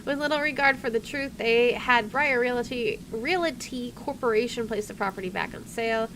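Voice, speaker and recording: A woman with a rather high voice narrates her own video game playthrough. She is casual, chatty and playfully wry. There is clear room noise from an air conditioner behind her. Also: normal speed. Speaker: gaming video host. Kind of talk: casual playthrough commentary, reading game text aloud. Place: indoors at home, air conditioner running.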